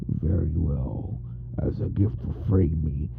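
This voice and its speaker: A man voicing a sealed evil spirit in a slow, menacing, breathy, gravelly growl for a story reading. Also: very deep voice, dry recording, phone-quality sound, voice pushed down, exaggerated voice. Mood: sinister.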